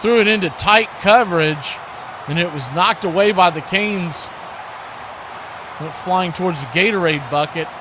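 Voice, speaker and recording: A man's voice: sits high, unhurried. A man calling live American football play-by-play in short, clipped phrases on a live stream. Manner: clipped phrases, raises voice on big plays. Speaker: play-by-play commentator. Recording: noisy live stream with background noise.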